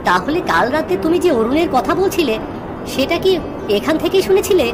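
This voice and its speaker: A woman's voice: sits high, very animated, fairly quick, background noise. A woman voicing a curious, earnest young boy from the city who asks eager, wondering questions in a cartoon story. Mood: puzzled, eager.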